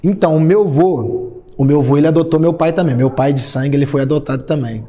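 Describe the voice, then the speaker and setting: Relaxed Brazilian man in his thirties. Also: fairly high pitch, fast talker. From São Paulo state, Brazil. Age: 30s. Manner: reminiscing, relaxed storytelling. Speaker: guest singer. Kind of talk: casual chat.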